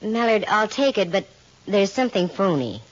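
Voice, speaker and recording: An American woman playing a sharp-witted, flirtatious private investigator in a 1940s radio drama, with wry, teasing, arch delivery on a dull, noisy vintage recording. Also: medium pitch, sing-song, moderate pace. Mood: sly, wry. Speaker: radio actress in lead role.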